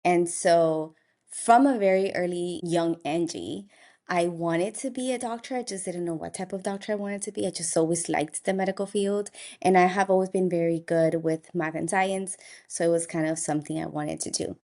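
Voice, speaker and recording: A woman's voice: on the low side, moderate pace, a little mellow. A young woman from Colombia, an optometrist telling her story in a casual interview and laughing now and then. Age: young adult. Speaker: optometrist guest.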